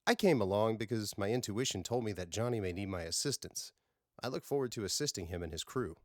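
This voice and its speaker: A serene and intuitive alien woman, read by a male narrator in a science fiction audiobook. The voice is low, calm and formal, reassuring in manner, and recorded dry. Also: fairly quick, dry recording, close to an everyday voice.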